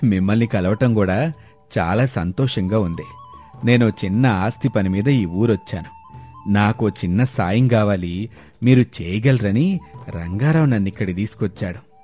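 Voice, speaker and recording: A man playing a wise, polite village elder in a dramatised storybook tale, speaking in a measured way. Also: fairly low pitch, mellow, soft background music, close to an everyday voice. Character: courteous, wise village elder, male, calm matchmaker.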